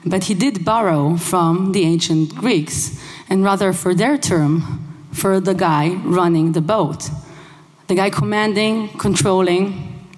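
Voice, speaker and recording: A young woman whose first language is Hebrew, giving a lively conference talk on a headset mic in a large hall, engaging the audience with questions. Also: lowish voice, takes it slowly. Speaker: conference speaker. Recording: long hall reverb.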